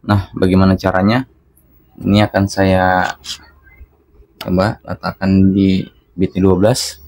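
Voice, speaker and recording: A man's voice: low voice, little pitch movement, unhurried, darkish tone, dry recording. A man narrating a casual hands-on product tutorial, talking instructively to his viewers. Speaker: product reviewer.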